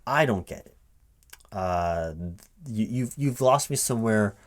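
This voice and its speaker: Low-voiced man interviewing, keyboard typing behind. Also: animated. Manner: halting, puzzled questioning, checking back with "right?". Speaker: job interviewer, recruiting manager in a roleplay. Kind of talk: one-sided spoken ASMR interview roleplay. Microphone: close microphone with typing sounds behind. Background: computer keyboard typing.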